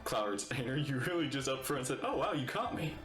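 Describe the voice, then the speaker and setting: A man giving casual, excitable, rambling reaction commentary while playing a game, talking back to the characters. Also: mid-range voice, a little fast, echoey room, music in the background.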